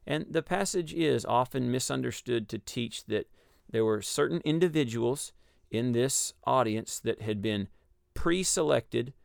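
A man with a Texas accent explaining a Bible passage in a measured, teaching way on a radio discussion. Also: mid-range voice, vocal fry, dry recording, studio quality. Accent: Texan. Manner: measured, explanatory, with frequent ums and uhs.

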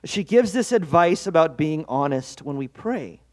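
A man's voice: a little high, animated, normal speed, darkish tone. A man preaching a devotional sermon as pastor, earnest and conversational, with self-deprecating asides. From Norwegian-American heritage.

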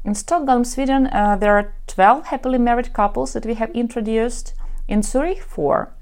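A woman's voice: a little high, moderate pace, a little mellow. A woman from Ukraine, a matchmaker, talking frankly and wryly in a solo podcast monologue. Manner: confident, frank and wry.

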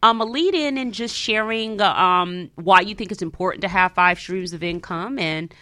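A woman's voice: mid-range voice, moderate pace, sharp tone. A woman hosting a radio talk show, introducing the discussion in a conversational style.

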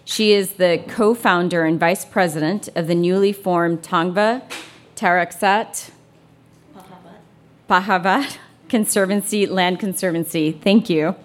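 A woman with a fairly low voice speaks as a panel moderator. Her delivery is formal and orderly, suited to introducing guests and posing questions. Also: a little slow.

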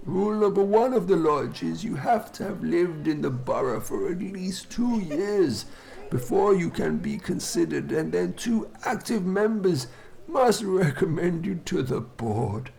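A man acting an officious, smooth-talking vicar who is polite and evasive in a comedy audio drama. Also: high voice, lively intonation, unhurried, darkish tone, exaggerated voice, low-quality recording. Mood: officious, apologetic.

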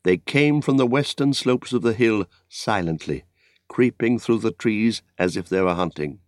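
A man narrating an epic story as an audiobook, in a dramatic, solemn and measured literary style. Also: fairly low pitch, dry recording, studio quality. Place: dry studio.

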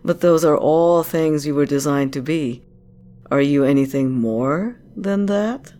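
Woman as a low-voiced, sly corporate director. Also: measured pace, dark tone. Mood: probing, teasing. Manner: teasing, probing, self-assured. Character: commanding female corporate director, sly, curious, teasing. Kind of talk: scripted audio drama acting.

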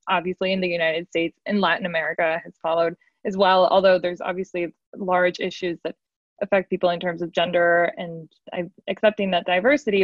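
Woman, panel guest, measured and reflective, dry dull-sounding audio. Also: on the low side, no echo. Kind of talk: thoughtful discussion.

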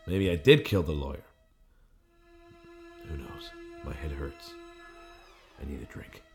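A man's voice: very deep voice, measured pace, vocal fry, faint music behind, full character voice. A man playing a confused, suspicious amnesiac in a film noir audio drama, terse and agitated, both narrating and speaking in scenes. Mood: brooding, rattled. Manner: terse, agitated, muttering to himself.

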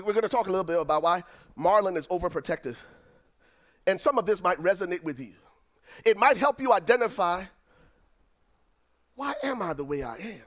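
A middle-aged man with a Liberian accent, preaching a sermon as pastor, swinging from near-shouts down to low, quiet lines. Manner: preaching with dramatic swings, rising to shouts then dropping low.

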